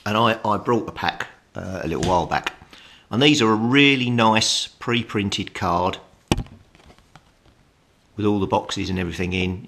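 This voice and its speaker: A man's voice: lowish voice, fairly bright tone, slight background noise. A middle-aged British man giving a casual, plain-spoken tutorial as a hobbyist presenter. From United Kingdom.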